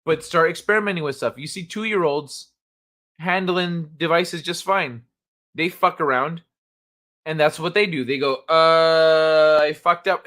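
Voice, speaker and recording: Dude hosting a late night stream, kinda higher voice, rambly and swears a lot, laughs at his own stuff. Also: sharp tone. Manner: rambling, joking, profane, laughs often. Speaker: livestream host. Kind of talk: casual live-chat talk.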